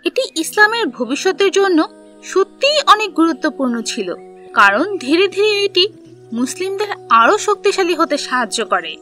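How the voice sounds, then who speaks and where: A woman narrating a scripted history story for children, telling it in simple, deliberate phrases. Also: very high-pitched, very animated, bright, forceful tone, soft background music.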